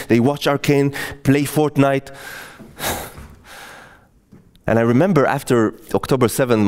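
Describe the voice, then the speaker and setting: A man's voice: a little high, a little mellow. A man in his forties from Israel, performing solo stand-up storytelling in a wry, self-mocking way. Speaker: comedian.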